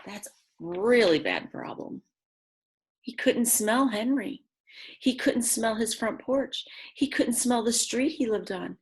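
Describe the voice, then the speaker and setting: Slow-paced woman reading a children's story. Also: a little high. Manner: slow, simple phrasing with dramatic emphasis and chatty asides. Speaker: children's read-aloud storyteller. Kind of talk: expressive picture-book reading with asides to young listeners.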